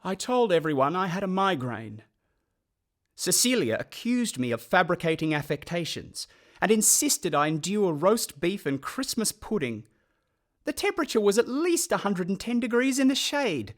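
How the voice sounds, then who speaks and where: Wry aussie guy narrating his orphan boyhood for a radio play, lively lightish voice. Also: high-pitched, moderate pace. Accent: Australian. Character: orphaned boy looking back, wry, solemn narrator. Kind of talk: first-person scripted radio play narration.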